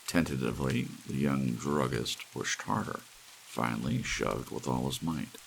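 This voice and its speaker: A man reading an audiobook story in a dramatic, hushed storytelling style, as a narrator. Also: a bass voice, a little slow, vocal fry, rough recording. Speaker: audiobook reader. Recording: steady hiss of soft rain. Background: soft rain.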